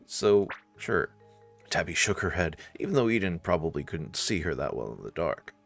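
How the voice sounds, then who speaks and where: A man's voice: low-pitched, very animated, normal speed, vocal fry, a bit bright, faint music behind. A man narrating a visual novel playthrough expressively, with casual asides.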